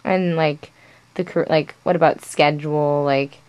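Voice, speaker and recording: A woman's voice: sits low, fairly even intonation, measured pace, darkish tone, no room sound. A young woman conducting an informal interview, asking questions in a hesitant, casual way full of fillers. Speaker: student interviewer.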